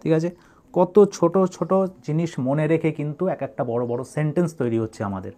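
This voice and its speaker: A man's voice: on the high side, normal speed, dark tone. A man teaching English writing, dictating in short separated phrases and then explaining them.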